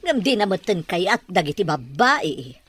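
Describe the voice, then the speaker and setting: An exasperated older mother in a radio drama. She sounds slightly husky and scolds with wide swings of pitch, recorded close and dry. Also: big pitch swings, unhurried, a bit bright, dry recording, full character voice.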